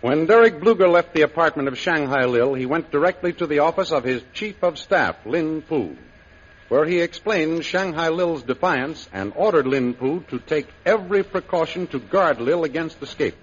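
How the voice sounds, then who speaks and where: A man's voice: a little high, lively intonation, moderate pace, slightly rough. A man narrating an old radio adventure serial as its announcer, in scripted 1930s style. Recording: muffled old radio recording with hiss.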